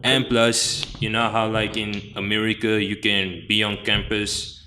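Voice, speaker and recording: Low-voiced young South African man. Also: unhurried, soft background music. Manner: relaxed, many fillers and restarts. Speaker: guest. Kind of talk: casual interview chat.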